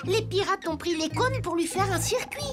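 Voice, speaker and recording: A woman's voice: very high-pitched, expressive, moderate pace, loud music behind the voice. A woman playing an earnest, cheerful little wooden boy detective in a children's cartoon. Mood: excited. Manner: earnest, eager. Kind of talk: animated children's cartoon dialogue.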